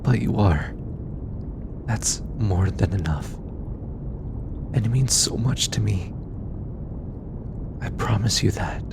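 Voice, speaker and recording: Intimate audio drama: affectionate alien scientist, male actor, earnest and hesitant, unhurried, over clear background rumble. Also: animated, full character voice. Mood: tender, grateful. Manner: tender, hesitant, softly formal speech. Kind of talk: intimate roleplay audio acting.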